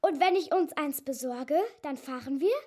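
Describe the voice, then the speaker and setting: A bold schoolgirl acted by a woman with a very high, youthful voice. She is cheeky, bossy and emphatic, hungry for adventure, in the natural style of a children's radio play. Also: normal speed, a little mellow, natural voice. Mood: cheeky, confident.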